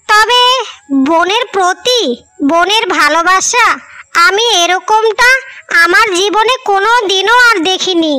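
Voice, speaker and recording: A woman's voice: extremely high pitch, pushed and bright, no echo, full character voice. A woman voicing a kindly older mistress of the house in a cartoon story, with a coaxing, motherly way of advising. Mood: accepting, moved. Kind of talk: Bengali cartoon story dialogue. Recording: music behind the voice.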